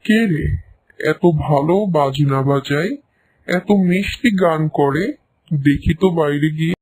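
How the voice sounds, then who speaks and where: A man's voice: on the high side, a little slow. A man voicing a sly, scheming fox who coaxes his prey in a children's cartoon. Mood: curious. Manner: coaxing, scheming.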